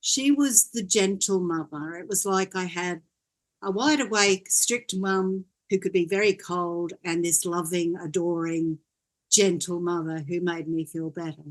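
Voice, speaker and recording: An older woman from Wales, a guest in an interview, telling her story in a gentle, reflective way. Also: fairly low pitch, steady tone, a little slow. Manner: gentle, reflective, laughs often.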